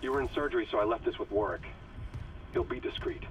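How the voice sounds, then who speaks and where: A man's voice: mid-range voice, quick pace, slight background noise, lightly acted, poor audio. Man playing a grave, worried ship's doctor. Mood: troubled, urgent. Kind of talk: dramatic game audio log.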